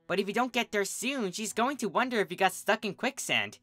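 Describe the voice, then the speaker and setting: Reader doing a bubbly girl pony, high pitched n chatty, storybook w music behind. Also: expressive, brisk, a bit bright, no echo. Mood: teasing, cheery. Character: perky, high-voiced female cartoon pony friend.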